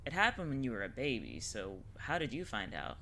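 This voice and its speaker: A woman's voice: extremely low pitch, very animated, brisk, sharp tone, a little hiss or hum, heavily acted. A woman playing a wry, teasing older witch mentor in an audio drama, talking in a casual, slangy way. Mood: serious, explaining. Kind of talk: scripted audio drama acting.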